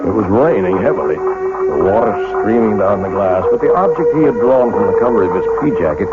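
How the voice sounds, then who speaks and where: An actor playing a haunted, irritable clockmaker in a radio drama, dramatic and intense. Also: male voice, fairly low pitch, expressive, fairly quick, natural voice. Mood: haunted, dread. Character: haunted, irritable male clockmaker confessing his dread. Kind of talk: old-time radio drama acting. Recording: tinny vintage radio broadcast, loud music.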